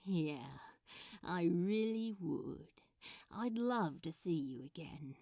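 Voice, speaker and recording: A woman voicing a flustered, smitten man with a sarcastic streak and a halting, drawling delivery in a fan fiction reading. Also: lowish voice, expressive, warm tone, no room sound, clean studio sound, pitched below the performer's own voice, full character voice. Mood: hopelessly fond. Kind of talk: fan fiction audiobook dialogue.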